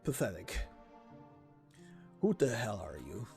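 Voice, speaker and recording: A man's voice: fairly low pitch, sing-song, takes it slowly, vocal fry, a little mellow, full character voice. A man voicing a proud, bitterly defiant young male warrior in terse, contemptuous lines from a game, over music. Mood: scornful. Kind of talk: dramatic reading of game dialogue.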